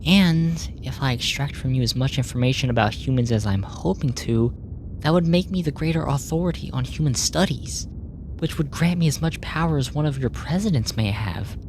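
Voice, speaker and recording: A woman acting in a sci-fi ASMR roleplay as a polite, clinical alien researcher, speaking in a measured, formal, earnest way. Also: very deep voice, background noise. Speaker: audio roleplay voice actor.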